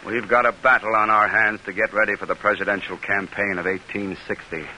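A man's voice: lowish voice, flat delivery, a little fast, lightly acted. Through a noisy, hissing old radio recording comes a man acting a confident male senator of the 1800s. He speaks formally and emphatically, a seasoned political orator in a classic radio drama. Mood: weary, relieved. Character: confident 19th-century American senator, forceful debater and orator.

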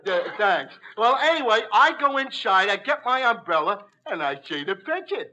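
An actor playing a dim-witted, earnest and cheerfully foolish barroom fellow in a 1940s radio comedy. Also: male voice, high-pitched, big pitch swings, moderate pace, pushed and bright, full character voice. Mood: proudly dim. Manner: earnest, simple-minded. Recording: dull old radio broadcast.

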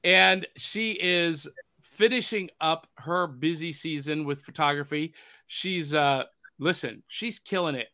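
A man's voice: on the high side, slow, sharp tone, dry recording. A man hosting a pop culture podcast, upbeat and jokey in casual chat. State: hoarse from overuse. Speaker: podcast host.

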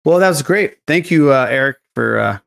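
Male host, animated melodic delivery, casual moderating and banter. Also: fairly high pitch, moderate pace, creaky. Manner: reactive, bantering. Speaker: podcast host.

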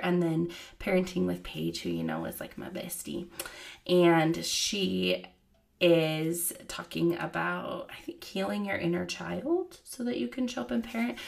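Gravelly-voiced woman podcast host. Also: on the low side. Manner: chatty, many fillers like "like" and "you know". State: slightly hoarse from a cold. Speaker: podcast host and child therapist. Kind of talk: casual solo podcast talk.

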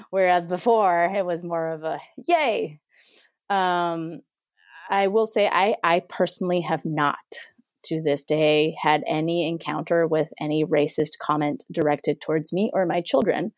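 A woman's voice: lowish voice, measured pace, no room sound. Chatty woman from Mexico, dry sound. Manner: chatty, laughs often, many restarts. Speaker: interview guest. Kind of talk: casual conversation.

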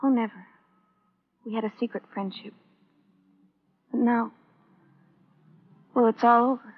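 An American actress playing an earnest, starry-eyed high school girl who confides naively in an old radio drama. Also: steady tone, a little slow, husky. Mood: confiding. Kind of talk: 1950s radio drama acting. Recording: dull old radio recording.

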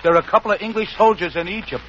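A man's voice: high-pitched, very fast, close to an everyday voice. An actor playing a suspicious, wisecracking American gangster henchman with slangy talk in a 1940s radio comedy. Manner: slangy, wheedling, cocky. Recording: muffled, hissy old 1940s radio broadcast.

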